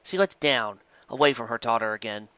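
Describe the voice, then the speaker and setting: A man's voice: mid-range voice, a little fast, sharp tone, clear, resonant tone, dry recording, muffled recording. A man reading a scripted story aloud as narrator. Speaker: narrator, reader.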